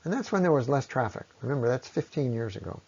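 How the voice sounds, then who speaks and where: A man giving an explanatory science lecture in a measured way, pausing often and letting his phrases trail off at the ends. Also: mid-range voice, lively intonation, a little mellow, slightly rough. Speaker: presenter on ecology. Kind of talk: explanatory lecture on environmental impact. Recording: dull, lo-fi voice recording.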